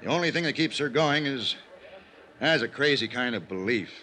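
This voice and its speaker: An actor playing an anxious, insistent older business manager in an old-time radio drama, speaking earnestly and urgently. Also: male voice, mid-range voice, lively intonation, moderate pace, pushed and bright. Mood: earnest, troubled. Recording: noisy old radio recording.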